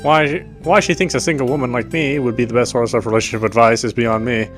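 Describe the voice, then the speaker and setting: A man's voice: medium pitch, steady tone, fast, a bit bright, soft background music. A man reading visual novel narration aloud as a let's player, sometimes stumbling and rereading phrases.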